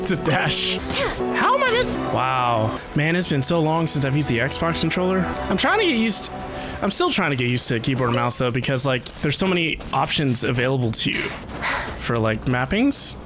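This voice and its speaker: A game streamer giving casual live commentary, excitable and playful, with chatty asides. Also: mid-range voice. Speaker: livestreamer playing a video game. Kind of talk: casual livestream commentary and chat.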